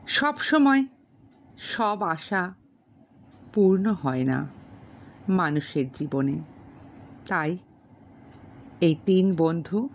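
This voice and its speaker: Female audiobook storyteller, slightly low register, unhurried formal delivery with pauses between phrases. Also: a little mellow, slight background noise. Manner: measured, formal, pausing between short phrases. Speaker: storyteller and channel host. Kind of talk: audiobook narration of a classic novel with spoken introduction.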